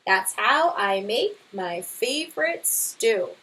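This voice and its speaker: Woman reading as a boastful, slow-talking papa bear. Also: medium pitch, lively intonation, bright, forceful tone. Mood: showy. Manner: boastful, emphatic. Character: boastful, bumbling father bear showing off. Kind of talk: storybook read-aloud.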